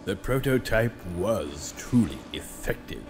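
An actor playing a sinister, gloating emperor in a science-fiction audio drama, speaking in a regal, menacing, drawn-out manner. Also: male voice, lowish voice, lively intonation, background noise. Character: sinister, gloating galactic emperor, probing and suspicious.

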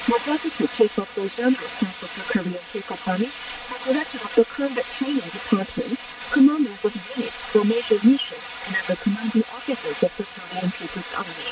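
Woman newsreader on crackly, muffled shortwave radio. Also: a little high. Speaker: radio news reader. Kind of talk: scripted news bulletin reading. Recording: muffled shortwave radio reception, distant, heavy static hiss. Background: shortwave static and hiss.

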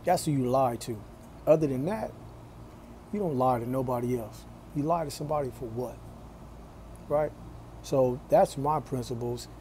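A man in his forties talking to his phone camera in a small room, slow and deliberate, with a preachy tone. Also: medium pitch, mellow, a little hiss or hum. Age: 40s. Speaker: vlogger. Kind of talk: casual talk to camera.

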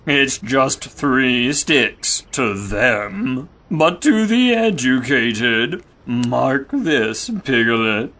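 A man's voice: mid-range voice, sing-song, very slow, hardly any pauses, pushed and bright, heavily acted. A man playing a gloomy, self-important old male donkey in an audio drama, speaking with sarcasm and pomposity. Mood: indignant, proud.

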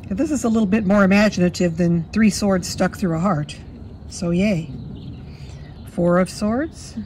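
A woman with a slightly hoarse voice from a cold, chatting fondly through a walkthrough of a card deck at her table. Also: mid-range voice, normal speed, a little mellow, slight background noise. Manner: fond, chatty, admiring. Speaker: tarot deck reviewer. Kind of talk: casual walkthrough. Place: indoors at a table.

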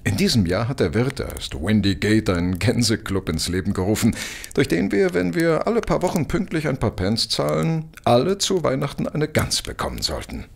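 An actor playing a polite, apologetic, long-winded gentleman in a German audio drama, hesitant and rambling. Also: mid-range voice, big pitch swings, normal speed, creaky. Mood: grateful, polite. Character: talkative, tipsy-living, apologetic married gentleman, mildly sheepish.

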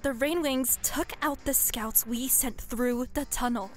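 Woman playing a high-voiced, hesitant dragon queen. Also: measured pace, soft background music. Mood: worried. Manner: hesitant, subdued. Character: quiet, indecisive young female dragon queen. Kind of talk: audio drama acting.

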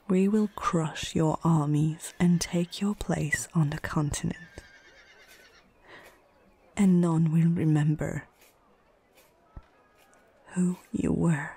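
A woman playing a stern, proud female orc chieftain in a whispered ASMR fantasy roleplay, speaking in short, measured phrases with a husky, breathy voice. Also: low-pitched, mellow, heavily acted.

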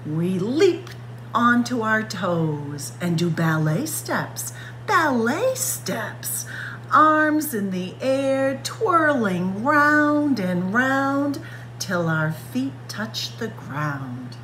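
A woman reads a picture book to young children at a very slow, careful pace. Her pitch moves in lively swings as she draws out and acts out the words. There is a faint noise and hum behind her in the room. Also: low-quality recording. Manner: expressive, child-directed delivery, stretching and acting out words. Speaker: librarian reading aloud for children. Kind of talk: picture-book story time read-aloud. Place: indoors, library room with bookshelves.

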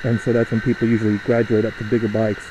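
A man's voice: fairly low pitch, completely flat delivery, lo-fi sound, background noise. Rambling young man on a motorcycle. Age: young adult. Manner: rambling, chatty, halting with fillers. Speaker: motovlogger. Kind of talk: casual riding commentary. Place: riding a motorcycle outdoors through a suburb.

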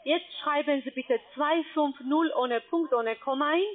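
High-voiced woman on a tinny phone line. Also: a little slow. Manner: instructing step by step, broken grammar. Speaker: scam call center agent. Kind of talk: phone call.

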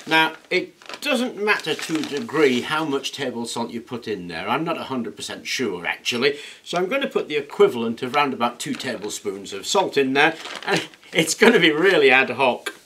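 A man's voice: medium pitch, big pitch swings, moderate pace, bright, forceful tone, a little hiss or hum. A middle-aged man chatting casually as he explains a project, self-deprecating and hands-on, recorded in an indoor workshop. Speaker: workshop video host. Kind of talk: casual demonstration.

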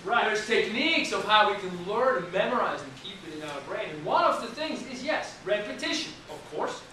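Animated male anatomy instructor. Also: sits high, a little fast, a little hiss or hum. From Switzerland. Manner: animated, talks with his hands, restarts often. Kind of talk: classroom lecture. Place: college classroom, indoors. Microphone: far camera at the back of the room. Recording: echoey classroom from far off.

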